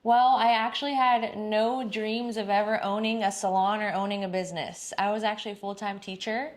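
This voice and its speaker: Woman, thirties, from Texas, chatty interview guest, upbeat, everyday pitch. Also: steady tone, fairly quick. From Dallas-Fort Worth, Texas. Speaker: guest, salon owner. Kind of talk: casual interview.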